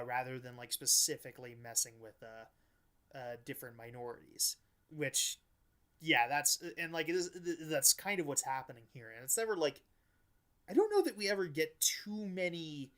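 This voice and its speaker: Higher-pitched man, choppy casual analysis. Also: normal speed, bright, forceful tone. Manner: hesitant, choppy, often restarting his sentences. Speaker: podcast co-host.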